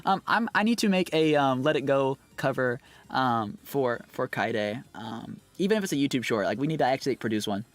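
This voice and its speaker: A man chatting casually with his livestream viewers, rambling and animated, with lots of fillers and quick laughs. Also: medium pitch, dry recording. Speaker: streamer and singer.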